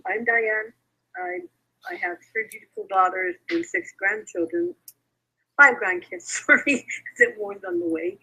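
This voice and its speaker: A woman's voice: mid-range voice, very animated, unhurried, pushed and bright, breathy edge, poor audio. A woman in her fifties introducing herself as a contestant, giggly and correcting herself as she goes. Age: 50s.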